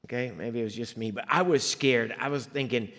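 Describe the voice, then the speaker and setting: A man's voice: medium pitch, brisk, a bit bright, big-room sound. A man who grew up in Liberia, a guest preacher telling his own testimony in a church sanctuary, earnest and conversational with asides to the congregation. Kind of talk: personal testimony in a sermon.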